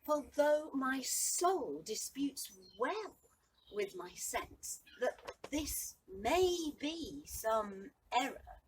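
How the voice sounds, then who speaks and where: A high-voiced, bewildered young man played by a woman marvels his way through a Shakespearean stage monologue. The delivery is halting and swings widely between hushed and exclaiming. It is picked up at a distance from the mic, with a little noise. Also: sing-song, full character voice. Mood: dazed wonder.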